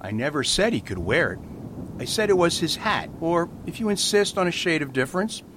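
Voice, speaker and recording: Man as mild priest sleuth, lively pitch, patient, radio play, faint rumble. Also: brisk. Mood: whimsical. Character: gentle priest detective, calm and playful. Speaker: Father Brown. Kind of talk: amateur radio drama acting.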